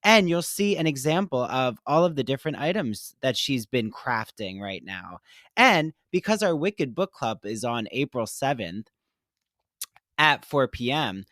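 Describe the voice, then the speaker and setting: A woman in her thirties co-hosting a podcast, reading sponsor spots in a gushing, animated way. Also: extremely low pitch, measured pace, no echo, clean studio sound. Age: 30s. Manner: gushing, animated, with theatrical gasps. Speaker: podcast co-host. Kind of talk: casual chat with sponsor reads.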